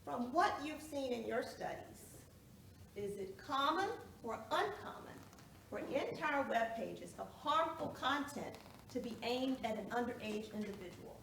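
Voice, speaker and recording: A woman's voice: a little high, lively intonation, unhurried, gravelly, a bit bright, full character voice. A woman playing a formal lawyer in a courtroom stage drama, putting probing questions to a witness. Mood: pointed, probing. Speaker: actor in a one-act play. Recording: echoey room, distant mic, noisy.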